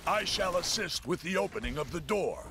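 A man playing a hearty, literal-minded alien warrior, booming and formal, in a cartoonish video game. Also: expressive, normal speed, background noise. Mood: eager.

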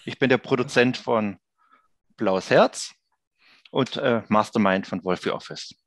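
Man, thirties, lower pitch, informal interview answers, dry headset audio. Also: unhurried, dry recording. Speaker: interview guest, producer. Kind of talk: casual chat. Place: indoor office room, video call.

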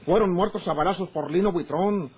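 An actor playing a suspicious, domineering countryman hiring hitmen in a gruff radio drama. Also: high-pitched, a little fast. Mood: scornful. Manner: gruff, challenging, accusatory. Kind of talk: Mexican rural radio drama acting. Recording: noisy, narrow-band old radio recording.

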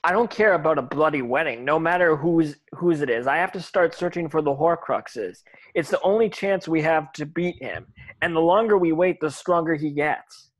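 Young man reading a high-pitched, determined teenage wizard. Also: moderate pace, close to an everyday voice, low-quality recording. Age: young adult. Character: determined, guarded teenage boy wizard hero. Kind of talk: table read. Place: indoors on a video call.

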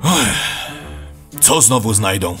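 An actor playing a patient but exasperated, practical neighbour who explains things emphatically in a radio play. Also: male voice, on the low side, animated, slow, pushed and bright, faint music behind, full character voice. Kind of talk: scripted radio play dialogue.

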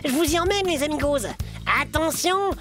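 A woman voicing an excitable boy teddy bear puppet with a Belgian French accent, talking in an enthusiastic, slangy way. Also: high voice, moderate pace, natural voice. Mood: excited. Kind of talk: children's puppet show. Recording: music behind the voice.

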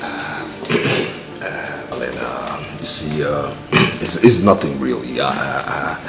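A man's voice: extremely low pitch, slow pace, echoey room, over loud music, full character voice. An actor playing a flustered, evasive young man caught two-timing, stammering and repeating himself in a film melodrama. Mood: flustered pleading. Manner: stammering, repetitive.

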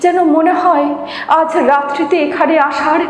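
A woman reciting poetry in a dramatic, measured manner with drawn-out pauses. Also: very high-pitched, steady tone. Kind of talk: expressive Bengali poetry recitation. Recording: reverberant echo, noticeable background hiss.

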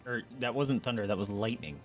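A man's voice: fairly low pitch, lively intonation, fairly quick, music in the background. A man giving casual, playful, reactive commentary as he streams a video game.

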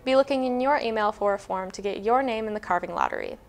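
A woman's voice: mid-range voice, fast talker. A teenage girl anchoring a school news show, reading items and chatting with her co-anchor. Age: teens. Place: school studio desk.

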